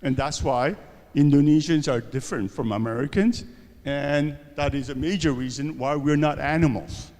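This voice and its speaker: A man's voice: on the high side, normal speed, darkish tone. Lecturing man in a reverberant hall. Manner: rhetorical questions with frequent "okay?" and "right?". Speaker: seminar speaker. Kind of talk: university lecture to students.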